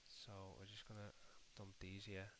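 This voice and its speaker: Low, flat-voiced Scouse streamer. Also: male voice, normal speed, noisy background. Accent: Liverpool (Scouse). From Liverpool, England. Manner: chatty, calls viewers mate and lad, mutters to himself while working. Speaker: livestream host. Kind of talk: casual live-stream chat while building in a game editor.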